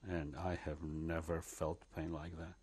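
An older man speaks in a deep, slightly husky voice. He recounts memories in a measured, reflective way, pausing and restarting often. He is recorded on a lapel mic in a living room. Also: level tone, darkish tone. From Poland. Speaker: interviewee. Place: living room, seated before curtains.

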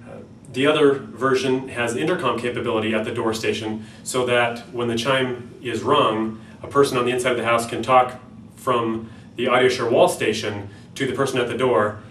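A man in his thirties with a North American accent, giving an explanatory product demonstration in a somewhat halting way. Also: mid-range voice, fairly even intonation, fairly bright tone. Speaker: company product presenter. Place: small plain-walled room indoors. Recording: roomy, distant mic, faint background noise.

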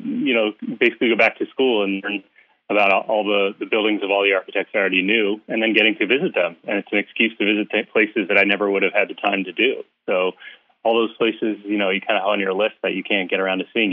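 A lower-voiced man comes through a thin, phone-like line with a dry sound. He talks in a relaxed, friendly way, stopping often for "uh" as he gathers his thoughts. It sounds like a guest speaking on a remote call. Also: fast, dry recording. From Philadelphia area. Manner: hesitant, many uhs, relaxed and friendly. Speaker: guest author. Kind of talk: casual interview chat.

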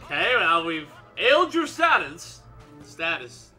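A man's voice: high-pitched, sing-song, measured pace, bright, forceful tone. A man co-hosting a game playthrough in casual, playful banter, often repeating short phrases. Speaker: let's play co-host and player. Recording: game music behind the voice. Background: Pokemon battle game music.

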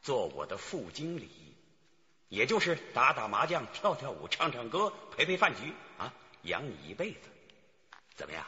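Sly male henchman manager, radio drama, very sharp and animated, wheedling with menace, muffled recording. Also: medium pitch, normal speed. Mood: cajoling. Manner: theatrical, cajoling and menacing by turns. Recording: dull recording with long reverb.

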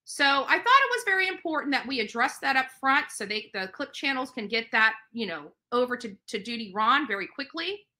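Bright, higher-pitched indignant woman. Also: very animated, a little fast, hardly any pauses. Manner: indignant, emphatic, repeats phrases for emphasis. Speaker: livestream host. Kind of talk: direct-to-camera commentary. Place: indoor living room on a couch.

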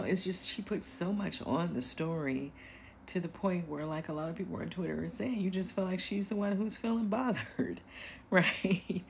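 A woman's voice: sits low, gravelly, a little mellow, a little hiss or hum. A woman hosting a gossip commentary show, talking casually and hesitantly with many fillers and small laughs. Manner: chatty, hesitant, full of fillers and little laughs. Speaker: commentary channel host.

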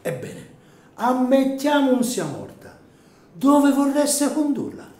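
A man's voice: very high voice, very animated, takes it slowly, dark tone, roomy sound, exaggerated voice. A man playing an anxious, scrupulous cemetery caretaker in an Italian radio drama, agitated and hesitant. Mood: reluctant, yielding. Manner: agitated, hesitant, repeats words.

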